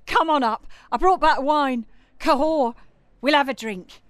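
A woman's voice: high-pitched, unhurried, no echo, clean studio sound. A woman playing a feisty young street singer, spirited and defiant. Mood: cheerful. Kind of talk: radio drama acting.

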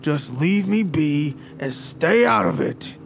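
Stammering old man, gravelly and slow, voiced by a male narrator. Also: fairly high pitch, animated, soft background music, voice pushed up, full character voice. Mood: irritated. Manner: stammering, pleading.

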